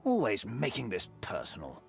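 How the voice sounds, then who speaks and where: A man's voice: on the low side, wildly swooping pitch, moderate pace, gravelly, breathy edge, full character voice. A male narrator voicing a grumpy spirit of darkness who sneers at light in a sullen, scornful way.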